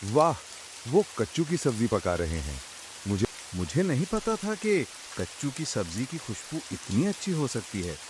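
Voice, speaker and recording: A man's voice: mid-range voice, sing-song, moderate pace, lightly acted. A man voicing a fussy, hapless young village husband in a comic cartoon story. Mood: curious, tempted. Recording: faint hiss with rain sounds.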